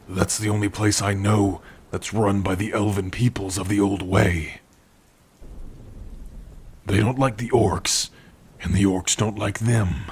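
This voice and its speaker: A man voicing a gentle, protective orc in an ASMR roleplay, speaking softly and haltingly to his partner in a husky, breathy voice. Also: lowish voice, exaggerated voice. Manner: soft, halting, hushed, intimate.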